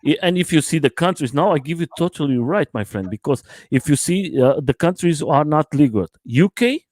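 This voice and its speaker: Hesitant man from Portugal, halting English. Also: a little high, animated, darkish tone, no room sound. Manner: halting, searching for words, many fillers. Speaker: guest. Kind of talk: casual live stream chat.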